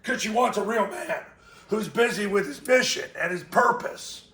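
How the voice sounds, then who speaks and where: A man with a raspy voice, hoarse from a cold, giving blunt, emphatic football coaching tips. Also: high voice, expressive, normal speed, bright, forceful tone, reverberant.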